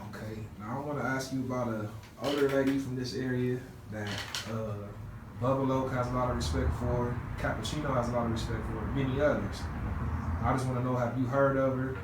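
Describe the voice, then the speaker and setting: A man interviewing a guest, calmly prompting with names and short questions. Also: mid-range voice, fairly quick. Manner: calm, prompting, asks by names. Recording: echoey room, distant mic, noise.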